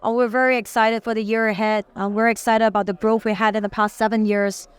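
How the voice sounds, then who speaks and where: A woman, a company CEO pitching her business in an interview, polished but with frequent fillers. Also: level tone, fast talker, clear, resonant tone. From Singapore. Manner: polished, promotional, uses many fillers.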